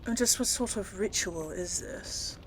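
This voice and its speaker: A woman's voice: mid-range voice, measured pace, a little hiss or hum, low-quality recording. A woman hosting a casual role-play game playthrough, thinking aloud and hesitating as she goes. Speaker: video game player and series host.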